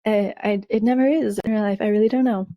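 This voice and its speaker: A woman with a slightly raspy voice hosting a color analysis lesson, explaining in a measured, friendly way with some fillers and restarts. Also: mid-range voice, steady tone, dark tone, dry recording, studio quality. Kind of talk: explaining over a slideshow.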